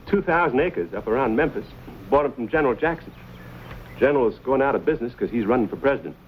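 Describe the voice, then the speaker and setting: A man acting as a confident, easygoing frontiersman in an old western drama. His folksy, wry delivery moves freely up and down in pitch, on a muffled old recording with faint hiss. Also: animated, fast, close to an everyday voice. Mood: matter-of-fact. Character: easygoing, confident frontier knife fighter come home. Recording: muffled, hissy old radio-era recording.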